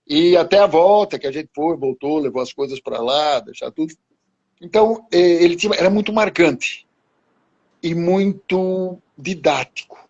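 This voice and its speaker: Husky high-voiced man in his seventies. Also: darkish tone, lo-fi sound. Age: 70s. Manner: slow, halting, with many restarts. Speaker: guest martial arts teacher. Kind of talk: reminiscing conversation.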